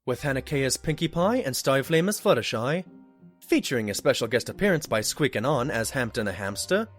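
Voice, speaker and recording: A man's voice: medium pitch, expressive, fairly quick, faint music behind. A man reading scripted closing credits as an announcer for an audio drama.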